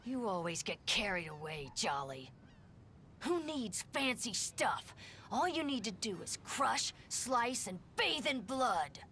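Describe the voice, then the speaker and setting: A woman's voice: on the high side, sing-song, slow, pushed and bright, slight background noise, exaggerated voice. A woman playing an irritable, bloodthirsty young killer in a video game, snarling with contempt. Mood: scornful, irritated. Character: bad-tempered, bloodthirsty young woman killer, gloomy and scornful.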